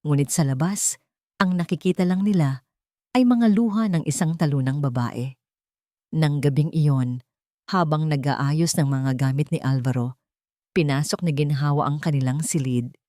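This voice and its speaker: A woman's voice: low voice, moderate pace, mellow, no echo, clean studio sound. A woman with a Filipino accent narrating a scripted romance drama in a dramatic storytelling style.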